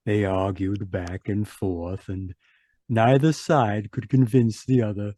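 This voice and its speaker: A man's voice: on the low side, mellow, dry recording. A man acting a mysterious traveler who narrates eerie tales in old-time radio mystery style, chatty and insinuating.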